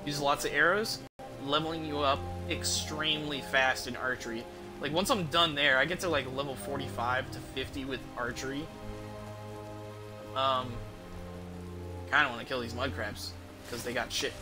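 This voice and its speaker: A man's voice: medium pitch, steady tone, normal speed, music in the background. A man giving casual, rambling gameplay commentary as a game streamer, with some swearing.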